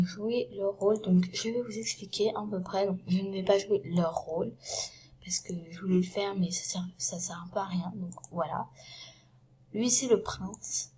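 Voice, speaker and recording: A woman with a cold talks through a story as she sets out her props, casual and unscripted. She stumbles and restarts, leans on fillers, and keeps sinking into a hushed, breathy half-whisper. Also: medium pitch. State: has a cold. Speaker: vlogger retelling a story.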